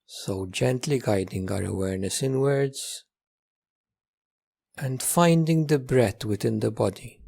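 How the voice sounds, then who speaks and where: A man teaches a guided meditation in a mellow, creaky voice. He is calm and measured, offering gentle instructions at a very unhurried pace. Phrases return in slight variations, separated by long pauses. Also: mid-range voice.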